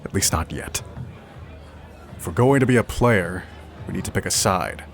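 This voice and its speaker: An actor playing an earnest, impatient young rebel in an audio drama, speaking in a curt, insistent way. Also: male voice, low voice, animated, moderate pace, gravelly, faint music behind. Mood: indignant, resolute.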